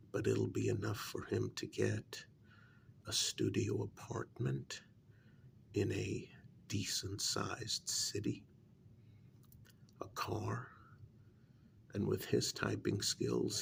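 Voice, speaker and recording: A man playing a reasonable, hesitant confidant to vampire nobility in a soft-spoken ASMR role-play, his soft voice often dropping into whispers. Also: fairly low pitch, creaky, a little hiss or hum, full character voice. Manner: hesitant, measured, gently reasoning.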